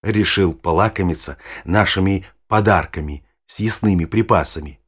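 A man playing a knowledgeable nature guide, narrating a children's audio play in a measured, expressive, explanatory way. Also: low-pitched, breathy edge. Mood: expressive explaining. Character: knowledgeable guide showing children forest animals. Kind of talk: scripted storytelling narration for children.

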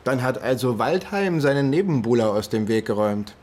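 An actor playing a pushy, easily flustered police detective in a naturalistic German radio drama. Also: male voice, medium pitch, animated, fairly quick, a little mellow, lightly acted.

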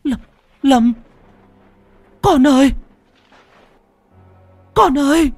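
A stammering mother pleads in a strained, high voice, as a male storyteller puts her on. Her pitch leaps and swoops with every line. The sound is dry and close. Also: animated, normal speed, no room sound, faint music behind. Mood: anguished. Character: grieving middle-aged woman medium, desperate mother.

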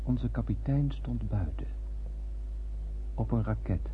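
An actor playing a haunted survivor who narrates a doomed space voyage in a radio drama, grave and literary. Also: male voice, lowish voice, takes it slowly, a little hiss or hum. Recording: dull, muffled old radio recording.

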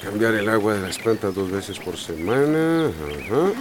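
An actor playing a responsible, careworn emergency committee leader in a radio soap opera, measured and authoritative. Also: male voice, on the low side, animated, background noise. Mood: businesslike.

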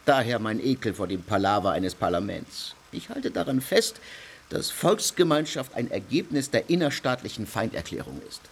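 A man's voice: medium pitch, animated, moderate pace, a little hiss or hum, close to an everyday voice. An actor playing a cold, doctrinaire legal theorist in a radio play, lecturing his fellow councillors. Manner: lecturing, dry scornful laughs.

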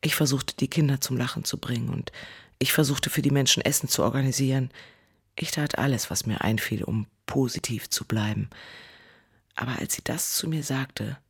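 An actress playing a weary, embittered woman who survived a disaster, recounting it in a radio drama monologue with a husky voice. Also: extremely low pitch, moderate pace, full character voice. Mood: bitter. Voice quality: husky, gravelly.

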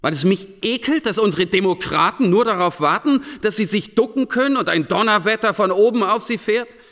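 An actor in a German radio play voicing a disillusioned, brooding schoolteacher back from war, speaking in impassioned, halting phrases. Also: male voice, sits high, expressive, a bit bright, big-room sound. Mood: agitated, disgusted. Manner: impassioned, halting rhetorical questions and short phrases.

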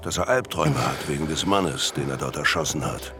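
Deep, creaky, sardonic male narrator. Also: a little fast, loud music behind the voice. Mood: dry, mocking. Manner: dry, ironic. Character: sardonic, world-weary male narrator of a Berlin crime story. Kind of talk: scripted radio drama narration.

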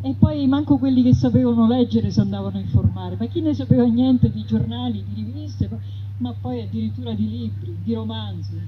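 A woman's voice: on the high side, fairly quick, close to an everyday voice. Woman as an earthy bitter bandit woman, emphatic talk, dull radio sound, hissy noise. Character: plainspoken southern peasant woman bandit, bitter. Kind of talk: theatrical first-person storytelling.

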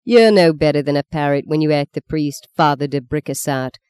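An embittered young man, acted by a male narrator, wounded and scornful. He sounds smooth and close in a dry audiobook recording. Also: on the high side, brisk, darkish tone, no room sound, lightly acted. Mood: bitter mockery. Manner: mocking, embittered. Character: bitter, scornful, wounded young man defying his father.

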